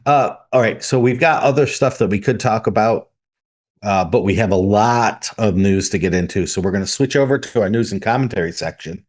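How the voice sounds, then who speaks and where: Male podcast co-host with a lowish voice who explains things and reads out news. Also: fairly quick, darkish tone. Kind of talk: casual podcast discussion.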